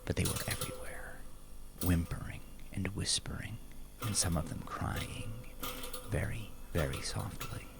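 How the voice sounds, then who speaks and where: Very deep, gravelly male storyteller. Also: unhurried, whispered. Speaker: audiobook-style narrator. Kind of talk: dramatic scripted dark fiction narration. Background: music.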